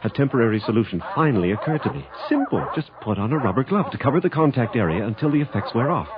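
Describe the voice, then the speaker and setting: An actor playing a scientist husband who narrates his own lab mishap in an old radio drama, with lively storytelling. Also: mid-range voice, fast talker, crowd noise, lightly acted. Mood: narrating. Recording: dull lo-fi radio drama recording with hiss.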